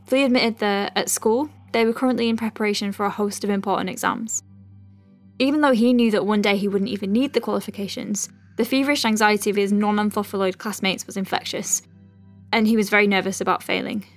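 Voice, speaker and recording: A woman playing a caring, conscientious doctor in an audio drama, dictating clinical notes with gentle, chatty asides. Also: medium pitch, steady tone, brisk, soft background music, close to an everyday voice. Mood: chatty, professional. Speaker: lead character, physician.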